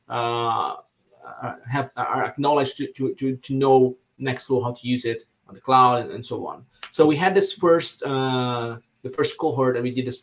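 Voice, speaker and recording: A man from Brazil whose first language is Portuguese, speaking as a podcast guest with enthusiasm, often repeating words as he searches for phrasing. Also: medium pitch. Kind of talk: casual podcast discussion.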